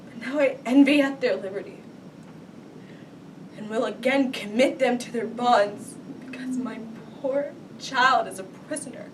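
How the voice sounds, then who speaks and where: Woman acting a grief-stricken noblewoman, recorded from afar. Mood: anguished. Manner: theatrical, drawn-out, lamenting. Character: grief-stricken noblewoman mother mourning her captive young son. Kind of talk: Shakespearean stage monologue. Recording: distant mic, rumbling background noise.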